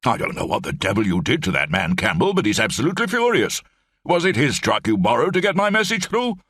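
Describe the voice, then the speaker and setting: A man's voice: medium pitch, sing-song, quick pace, fairly bright tone, breathy edge, no echo, voice pushed up, exaggerated voice. A man voicing an elderly, bumbling brigadier with clipped, rambling upper-class speech. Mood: jolly.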